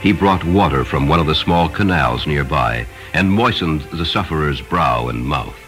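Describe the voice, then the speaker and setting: Very deep-voiced man narrating a story. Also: moderate pace, soft background music. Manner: solemn, expressive storytelling. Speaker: audiobook narrator. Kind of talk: dramatic scripted story narration.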